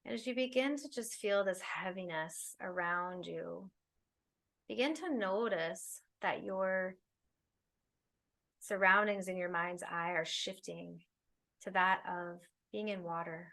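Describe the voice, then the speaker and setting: Female meditation guide, slightly low register with plenty of vocal fry, soothing and unhurried delivery. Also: a bit bright. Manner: soothing, unhurried phrases with long pauses. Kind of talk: guided meditation, spoken slowly to listeners.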